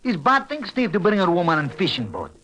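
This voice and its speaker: A man's voice: sits high, big pitch swings, fairly quick, pushed and bright, dull audio, heavily acted. A man playing a superstitious, stubborn immigrant fisherman with a foreign accent and emphatic broken English, in 1940s radio acting. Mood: pleading, earnest. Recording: old radio recording, faint hiss.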